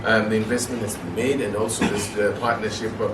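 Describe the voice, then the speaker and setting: A man hosting an event as master of ceremonies, loud, jovial and informal. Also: fairly low pitch, level tone, a little fast. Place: hotel function room. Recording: echoey room from far off, noisy.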